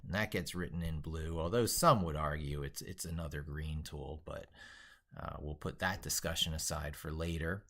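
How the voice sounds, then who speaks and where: A man's voice: extremely low pitch, moderate pace, fairly bright tone. A man hosting a casual, unscripted livestream, rambling as he thinks aloud. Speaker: livestream host.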